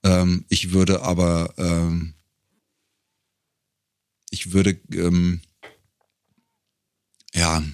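A man's voice: low-pitched, monotone, extremely slow, long pauses, a little mellow, smooth, dry recording, clean studio sound. A middle-aged man talking casually and reflectively as an interview guest, feeling his way through phrases. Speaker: guest, professional voice actor.

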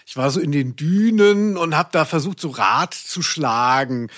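A man's voice: a little high, expressive, unhurried, a bit bright. A man hosting a podcast, chatting casually in a rambling, self-deprecating way. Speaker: podcast host. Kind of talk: casual conversational podcast chat.

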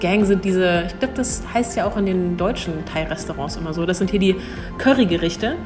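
A woman's voice: on the low side, a little fast. Young woman interviewee, thoughtful and hesitant, laughs easily, faint music under her. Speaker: cultural attaché at an embassy. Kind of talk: interview answers.

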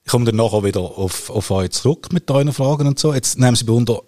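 A man's voice: medium pitch, fast, darkish tone. A man with a Swiss German accent moderating a casual podcast conversation as the interviewer.

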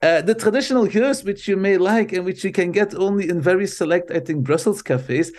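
A middle-aged Belgian man with a Dutch-speaking accent and a notably high voice. He chats as a podcast guest in a relaxed, storytelling way, often repeating "so, so" and laughing lightly between phrases. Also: brisk. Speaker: guest, marketing professor. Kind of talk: casual podcast conversation.

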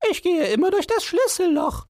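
Man doing a clever cold ant lady, high lively voice, unmoved, haggling, storybook. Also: normal speed, dry recording, clean studio sound, exaggerated voice. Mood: bargaining.

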